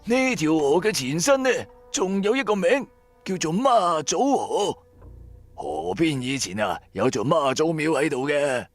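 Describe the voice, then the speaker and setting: Man acting a theatrical old fortune-teller, pitch swooping. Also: very animated, a little slow, soft background music. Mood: ominous, persuasive. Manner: theatrical, persuasive, self-righteous. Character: sly old blind fortune-teller, preachy charlatan mystic.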